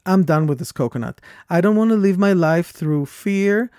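A man with a foreign accent co-hosting a podcast, talking in an earnest and reflective way that turns animated when he tells a story. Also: high voice, moderate pace, no echo, clean studio sound. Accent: foreign accent, origin unstated. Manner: earnest, thoughtful, animated when telling stories. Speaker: podcast co-host. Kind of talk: reflective conversation.

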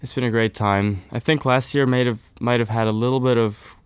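A young man from Norway, a folk musician, chatting casually and warmly in an interview, with lots of "kind of" and "like". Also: medium pitch, a little fast, clean tone, no echo. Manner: relaxed, friendly, uses fillers like "kind of, like".

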